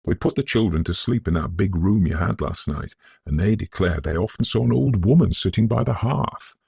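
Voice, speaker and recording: Loyal manservant voiced by a male narrator, Victorian ghost story, grave, deferential, husky and close-recorded. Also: low voice, expressive, darkish tone, dry recording, pitched above the performer's own voice, exaggerated voice. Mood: grave recounting. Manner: earnest, respectful, measured period phrasing. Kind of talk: dramatised audiobook ghost story.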